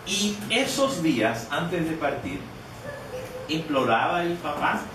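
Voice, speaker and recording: A man's voice: fairly high pitch, big pitch swings, slow. A man preaching a sermon, telling a personal story in a conversational way with many restarts and repeated words. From Canada. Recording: echoey, distant, noisy room.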